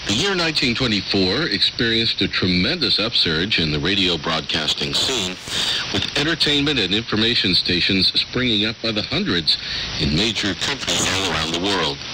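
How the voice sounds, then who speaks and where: A man's voice: on the low side, expressive, normal speed. A man hosting a radio programme with scripted announcements and narration, heard over a shortwave broadcast. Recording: noisy shortwave radio reception.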